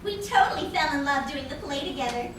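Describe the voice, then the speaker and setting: Woman as a high, lively teenage girl, very echoey. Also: brisk. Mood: gushing, brazen. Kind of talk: live radio play acting. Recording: echoey hall, distant, loud background noise.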